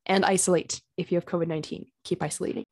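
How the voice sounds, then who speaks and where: A young woman hosting her own podcast, talking casually and earnestly, her throat slightly raspy from being sick. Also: fairly low pitch, fairly even intonation, racing, darkish tone. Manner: chatty and earnest, with fillers and small laughs. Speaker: podcast host. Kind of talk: casual solo podcast talk. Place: home.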